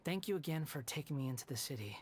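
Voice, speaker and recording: Soft-spoken, subdued young wanderer. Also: male voice, fairly high pitch, animated, fast talker, husky. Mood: subdued, polite. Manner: soft-spoken, formal, apologetic. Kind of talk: video game voice acting.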